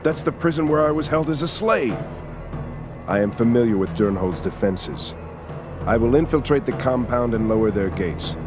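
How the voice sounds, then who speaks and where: A man playing a brave young orc hero in an animated adventure game, commanding and wry. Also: mid-range voice, moderate pace, lightly acted. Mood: resolute. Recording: music behind the voice.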